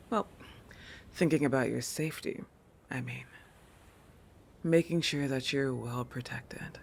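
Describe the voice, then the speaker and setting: A woman plays a proud young shark girl who guards her human jealously in an intimate roleplay audio. Her delivery is slow and teasing, with a creaky, fry-laden edge and flustered self-corrections. A faint hiss and rumble sit behind the voice. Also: heavily acted. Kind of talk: roleplay audio monologue addressed to the listener.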